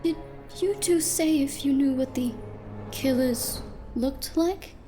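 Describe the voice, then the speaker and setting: A woman's voice: high-pitched, slow pace, mellow, faint music behind. A woman playing a nervous, evasive diner cook with a hesitant, stammering manner in a noir audio drama. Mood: anxious.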